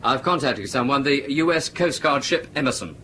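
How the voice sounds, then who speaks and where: An actor playing a dutiful, anxious ship's radio officer in a radio drama. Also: male voice, mid-range voice, expressive, normal speed, bright, forceful tone. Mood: businesslike. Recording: radio drama with faint background noise.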